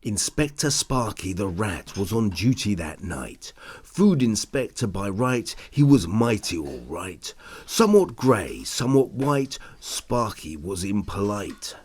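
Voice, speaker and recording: Slow, animated male storyteller. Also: fairly low pitch, husky. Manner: theatrical, deliberate. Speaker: storybook narrator. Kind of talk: rhyming children's story read-aloud.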